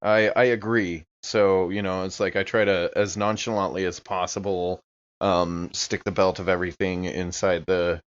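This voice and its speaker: Very deep-voiced man, slightly muffled. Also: little pitch movement, moderate pace. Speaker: tabletop game player. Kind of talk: casual improvised role-play chat. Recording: slightly dull, lo-fi microphone.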